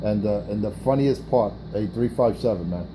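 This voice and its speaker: Give me a profane, taunting man with a low voice over hissing static. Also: moderate pace, warm tone, rough recording. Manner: brash, taunting, profane, repeats himself. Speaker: CB radio operator hosting an on-air morning show. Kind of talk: crude trash-talking radio banter.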